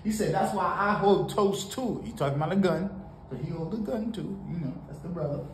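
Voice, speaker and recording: A man from Haiti giving casual, animated reaction commentary on a song in a small home studio, talking to the camera. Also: high-pitched, a little fast. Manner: animated, preachy, sprinkled with laughs and "you know". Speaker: music reaction host. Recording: roomy home studio with faint noise.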